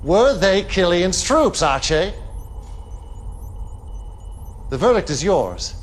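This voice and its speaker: An actor playing a ruthless, grandiose cult leader in a video game, speaking in a measured, imperious, theatrical manner. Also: male voice, high-pitched, sing-song, full character voice. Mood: accusing. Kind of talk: dramatic acting in game cutscenes.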